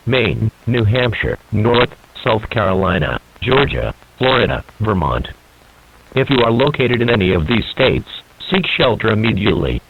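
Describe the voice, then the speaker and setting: Noisy, low-voiced man reading an emergency alert. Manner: formal, solemn. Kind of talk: scripted emergency broadcast announcement. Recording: noisy, muffled band-limited radio broadcast.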